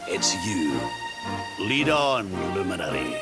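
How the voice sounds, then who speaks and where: An actor playing a kindly, fatherly old gentleman adventurer with a Scottish accent in a video game. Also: low-pitched, very animated, takes it slowly, exaggerated voice. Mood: solemn, encouraging. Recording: music behind the voice.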